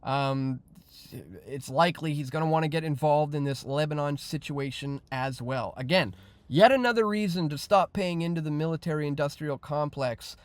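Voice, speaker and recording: A man's voice: a little high, normal speed, dry recording. A man hosting an online news show, giving opinionated commentary in a conversational, emphatic way that repeats phrases.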